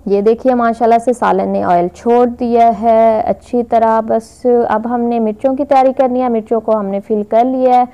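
A woman's voice: a little high, steady tone, normal speed, few pauses, dark tone, clear, resonant tone, highs cut off. A woman hosting her own cooking vlog, narrating a recipe step by step in a chatty, repetitive way with fillers, recorded on a phone in a home kitchen. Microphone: phone camera filming the pot.

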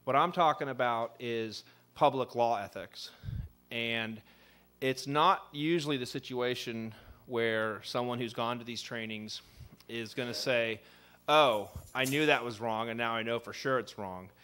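A man's voice: mid-range voice, measured pace. A man in his thirties, an assistant city attorney, giving a conversational ethics training in a city meeting room at a desk microphone, with many fillers. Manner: says he has a loud voice. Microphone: desk microphone on a stand.

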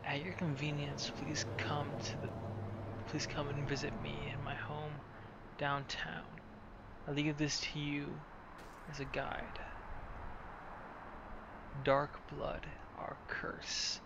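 A man narrates a game playthrough in a gravelly, slow voice. He reads text aloud haltingly and mutters asides. Loud noise and ambience run behind him. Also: fairly high pitch, completely flat delivery, lo-fi sound. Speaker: gamer playing and narrating a roleplay playthrough. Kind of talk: gameplay commentary, reading on-screen text aloud. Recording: noisy recording with loud background ambience.

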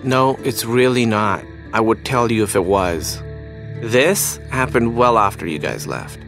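Weary, resigned survivor. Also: male voice, on the low side, animated, a little slow, a bit bright, faint music behind. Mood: resigned, reassuring. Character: weary, resigned, kindly injured male survivor. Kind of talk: naturalistic video game acting.

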